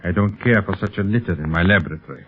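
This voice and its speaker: An actor playing a suave, secretly criminal scientist with a formal, condescending manner in a 1930s radio serial. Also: male voice, sits low, rapid-fire, natural voice. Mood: evasive, firm. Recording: crackly, narrow-band 1930s radio recording.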